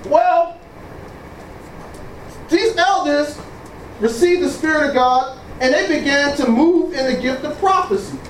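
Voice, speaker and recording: A man preaching a sermon with a booming voice, leading call-and-response and exclaiming praise to God. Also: measured pace, pauses often. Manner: exclaims praise phrases, leads the congregation in repetition. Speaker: pastor. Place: church hall. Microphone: mic switched off, picked up from a distance. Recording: echoey room from far off, faint noise.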